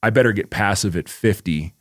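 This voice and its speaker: A man's voice: on the low side, fairly quick, a bit bright, dry recording, studio quality. A man around forty co-hosting a podcast, talking casually in a rambling way with lots of "like" and freely dropped swear words. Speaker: podcast co-host. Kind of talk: casual chat.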